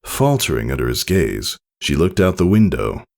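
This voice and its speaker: A man reading a story aloud as scripted narration, in an expressive storytelling manner. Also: very deep voice, moderate pace, gravelly. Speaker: narrator reading fan fiction. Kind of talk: scripted audiobook-style narration.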